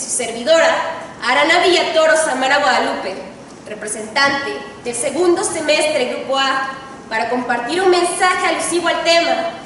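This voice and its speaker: A young woman student with a Mexican accent giving a formal, solemn oratory speech with emphatic delivery and dramatic pauses. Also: high-pitched, a bit bright. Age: teens to young adult. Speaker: student contestant in an oratory contest. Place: large reverberant indoor hall. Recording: echoey hall, distant mic, faint noise.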